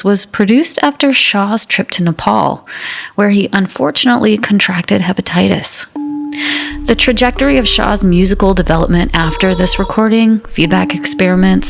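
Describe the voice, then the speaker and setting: Female music radio host, slightly low pitch, earnest, erudite, measured, radio drama presenting. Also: creaky. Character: music-loving woman hosting a minimalist music radio show.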